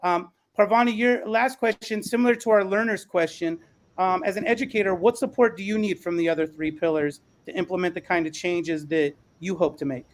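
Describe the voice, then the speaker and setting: Male podcast host, high-pitched, encouraging and emphatic, with measured phrasing. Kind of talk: encouraging moderated discussion.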